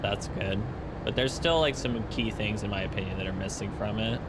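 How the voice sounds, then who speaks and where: Chatty young man streaming, noisy mic. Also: fairly low pitch. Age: 20s. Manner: chatty, rambling, quick asides to chat. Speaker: flight sim streamer. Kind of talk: casual chat with stream viewers.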